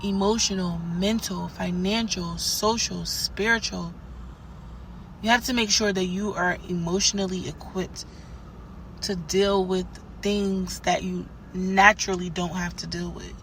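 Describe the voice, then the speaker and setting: A young woman, hoarse for days, hosting a podcast and giving rambling, conversational spiritual advice with plenty of fillers. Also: lowish voice, steady tone, a little slow, fairly bright tone, slight background noise. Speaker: podcast host. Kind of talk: casual spiritual advice monologue.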